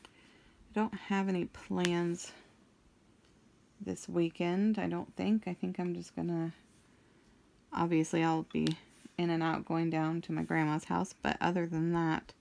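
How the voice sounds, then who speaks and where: Slow, low-voiced woman rambling while crafting. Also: gravelly, a little hiss or hum. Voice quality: deep, somewhat monotone voice. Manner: slow, meandering, many ums and trailing thoughts. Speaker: craft vlogger.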